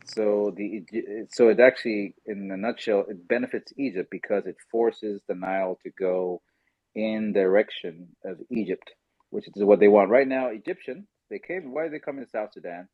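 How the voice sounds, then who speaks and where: A man hosting a casual podcast discussion, telling stories animatedly and quickly mimicking others in asides. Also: on the low side, dry recording, narrow, tinny audio. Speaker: podcast host.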